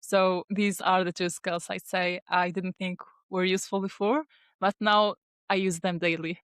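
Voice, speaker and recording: A woman's voice: lowish voice, flat delivery, moderate pace, fairly bright tone, clear, resonant tone, dry recording. Tunisian girl in her 20s, arabic first language, chatty w lots of um and like, laughs a lot, dry close mic. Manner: chatty, laughs often, many fillers and restarts. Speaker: guest, dental student and ghostwriter. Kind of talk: casual interview talk.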